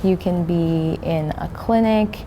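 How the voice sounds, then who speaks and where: Young woman nurse telling her story, earnest, kinda low pitched, noisy background hiss. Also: takes it slowly, mellow. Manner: earnest, methodical, frequent ums and restarts. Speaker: registered nurse guest. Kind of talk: interview answers. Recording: noisy recording.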